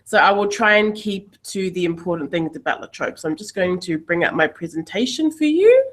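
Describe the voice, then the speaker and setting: A woman's voice: fairly low pitch, very animated, fairly quick. A woman, a university recruitment coordinator giving a friendly webinar presentation over a video call. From New Zealand.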